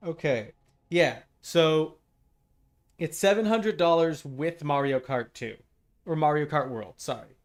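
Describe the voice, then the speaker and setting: A man's voice: a little high, a little slow. A man live streaming a game, talking casually and bluntly with exasperated, sweary asides. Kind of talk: casual gaming stream chat. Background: game music.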